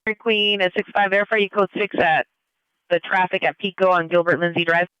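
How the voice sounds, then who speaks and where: A man's voice: high voice, steady tone, a little fast, clean tone, no echo, lo-fi sound. A man dispatching on police radio in clipped procedural phrases, heard through a scanner.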